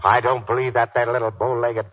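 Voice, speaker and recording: An American actor playing a stubborn, suspicious middle-aged ex-Marine with blunt, folksy slang in a 1940s radio drama. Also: male voice, mid-range voice, little pitch movement, brisk, close to an everyday voice. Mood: indignant. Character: gruff, stubborn, suspicious retired Marine family man. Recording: dull, noisy 1940s radio recording.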